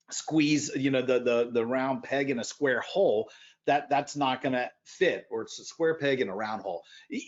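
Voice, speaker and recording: A man speaking as a podcast guest in animated conversation, emphatic, with loud bursts and quieter asides. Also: medium pitch, lo-fi sound.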